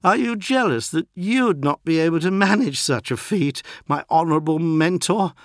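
A man's voice: a little high, lively intonation, moderate pace, no room sound, studio quality, pitched above the performer's own voice, lightly acted. A man voicing an arrogant young warrior acolyte in a science fiction audiobook, insolent and taunting with fanatical belief. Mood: mocking.

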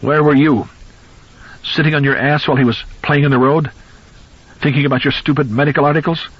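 A man voicing an enraged, grieving old man who hectors and screams, with a husky voice on a dull recording. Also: lowish voice, lively intonation, a little fast. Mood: screaming. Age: older. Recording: dull lo-fi audio.

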